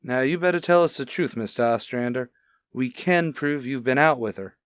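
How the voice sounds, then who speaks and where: A man's voice: mid-range voice, moderate pace, smooth, no room sound, natural voice. Male actor as police detective, clipped questions, matter-of-fact, radio crime drama, very dry sound. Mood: pressing. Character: businesslike male police detective partner, probing. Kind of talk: old-time radio detective drama acting.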